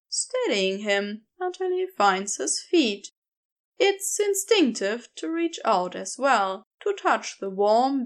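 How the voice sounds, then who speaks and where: A woman narrating a story as an audiobook, reading expressively from a script. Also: on the high side.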